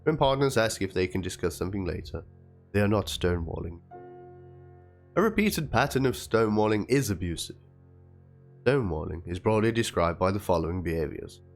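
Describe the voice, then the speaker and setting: A man's voice: low voice, lively intonation, a little fast. A man narrating a written document aloud as a dramatic reading, with theatrical delivery. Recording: music under the voice. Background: background music.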